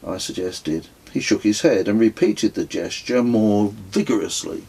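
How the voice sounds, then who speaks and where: A man reading his own memoir as an audiobook, telling it in a measured, wry storytelling way. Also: fairly low pitch, gravelly, mellow, husky, rough recording. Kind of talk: scripted audiobook narration.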